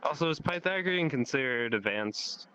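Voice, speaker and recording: A man's voice: medium pitch, animated, normal speed, pushed and bright, slight background noise, poor audio. A teenage young man in a casual, rambling chat, swearing offhandedly and leaning on "like". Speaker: guest telling life experiences. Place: online voice chat in a VR social game.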